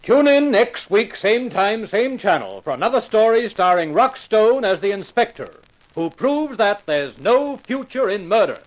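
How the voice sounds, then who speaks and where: A man's voice: high voice, big pitch swings, measured pace, faint music behind, rough recording. An actor playing a theatrical, emphatic male television announcer in 1950s broadcast style.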